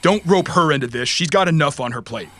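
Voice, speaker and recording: A man's voice: fairly high pitch, expressive, brisk, a bit bright. A man playing an exasperated, protective mercenary runner, urgent and pleading in a cyberpunk audio drama.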